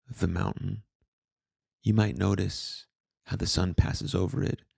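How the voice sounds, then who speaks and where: A man guiding a meditation in a calm, soothing, unhurried way, reading slowly with long pauses between short phrases. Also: deep voice, vocal fry, slightly rough, dry recording. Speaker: meditation guide, podcast host. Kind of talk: guided meditation, slow scripted narration.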